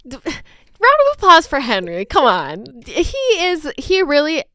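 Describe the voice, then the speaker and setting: A woman co-hosting a podcast in a casual, chatty way, with an enthusiastic, expressive delivery. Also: high-pitched, unhurried, no echo, studio quality. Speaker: podcast co-host.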